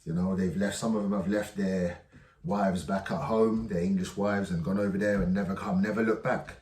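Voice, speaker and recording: Deep-voiced man, echoey and distant. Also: fairly even intonation, moderate pace, a little mellow. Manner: hesitant, many ums and restarts. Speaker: vlog host reacting to clips. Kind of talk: casual commentary. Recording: echoey room, voice from far off.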